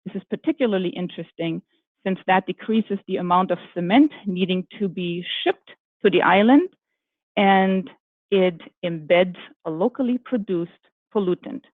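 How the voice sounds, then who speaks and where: A woman with a German accent, an architecture firm executive speaking on a panel in a measured way, with frequent ums and self-corrections. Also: on the low side, no echo. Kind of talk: panel talk. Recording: dry, phone-like video call audio.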